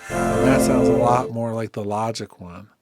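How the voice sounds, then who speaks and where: A man giving a casual software demonstration, speaking informally and thinking aloud as he goes. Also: mid-range voice, fairly even intonation, normal speed, loud music behind the voice. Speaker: music software tutorial host.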